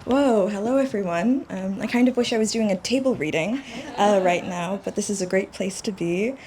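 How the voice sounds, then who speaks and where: A woman's voice: medium pitch, moderate pace, noisy background. A woman who teaches creative writing, speaking earnestly and thoughtfully to a gathering in a home. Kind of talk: casual spoken reflection to a live gathering.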